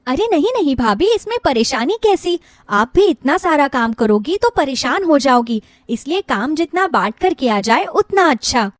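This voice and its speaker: Woman voicing a very high-pitched, bubbly young sister-in-law. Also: sing-song, normal speed, dry recording. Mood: helpful, eager. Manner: eager, playful, talkative. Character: cheerful, chatty, affectionate young sister-in-law. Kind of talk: animated Hindi moral-story character voice.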